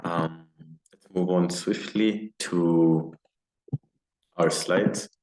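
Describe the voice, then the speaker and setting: A man's voice: low-pitched, drawn-out words. A man teaching a casual live coding lesson on a video call, with lots of fillers. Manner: relaxed and casual, many fillers. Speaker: coding instructor. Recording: roomy echo, faint noise.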